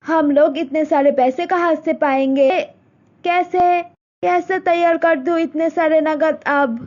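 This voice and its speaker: A caring but anxious mother of the bride in a storybook cartoon, voiced by a woman. Her voice is high and mellow, and she speaks slowly. The recording is dull and lo-fi. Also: fairly even intonation. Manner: anxious, soothing.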